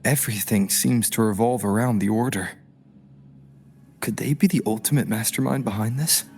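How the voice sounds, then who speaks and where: A man voicing a watchful young male adventurer in a video game, husky and tersely questioning. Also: lowish voice, normal speed, darkish tone. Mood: puzzled, wary.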